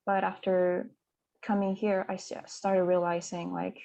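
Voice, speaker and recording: A woman's voice: lowish voice, level tone, measured pace, gravelly, warm tone, slight background noise. A woman from Mongolia, speaking as a conference panelist in a hesitant, reflective way with many fillers and restarts. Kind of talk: reflective personal talk.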